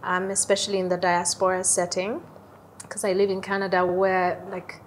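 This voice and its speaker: A young woman from Uganda, talking casually as an interview guest in an animated storytelling way, often checking in with "right?". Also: lowish voice, moderate pace, slight background noise. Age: young adult. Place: airy lounge on a sofa, indoors.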